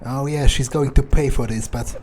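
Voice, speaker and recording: A man giving in-character game commentary, muttering his thoughts aloud in a halting, sweary, wryly understated way. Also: medium pitch, fairly even intonation, very noisy. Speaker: video game player narrating in character. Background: game music.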